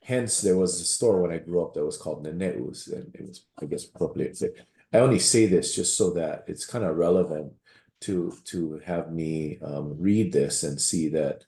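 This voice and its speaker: A man's voice: low-pitched, moderate pace, dark tone, roomy sound. A man from Waianae, a pastor giving a rambling public comment with many restarts at a meeting. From Waianae, Hawaii.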